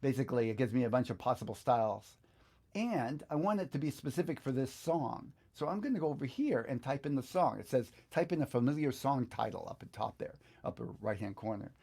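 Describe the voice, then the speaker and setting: A middle-aged man talks through a screen tutorial step by step. He sounds like an instructor chatting informally, thinking aloud as he goes. His voice sits at an average pitch for a man. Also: animated, fairly quick, a little mellow, slightly rough. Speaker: guitar instructor, video host.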